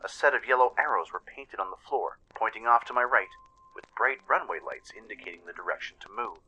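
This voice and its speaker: A man's voice: on the low side, a little fast, pushed and bright, breathy edge, muffled recording. A man narrating a scripted first-person story dramatically, as in an audio drama. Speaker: narrator and voice actor.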